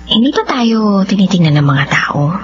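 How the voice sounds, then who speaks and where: A woman's voice: mid-range voice, big pitch swings, moderate pace, noisy background, natural voice. A woman acting a tender, fearful young sweetheart in a romantic radio drama, hesitant and emotional. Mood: affectionate. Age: young adult. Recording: dull lo-fi audio with music.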